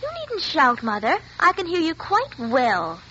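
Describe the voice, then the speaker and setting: A woman's voice: high voice, huge pitch swings, unhurried, pushed and bright, full character voice. A woman playing a young schoolgirl with childlike, precise diction in 1940s radio drama style. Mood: primly haughty. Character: schoolgirl daughter, curious, turned primly haughty. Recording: muffled, noisy 1940s radio recording.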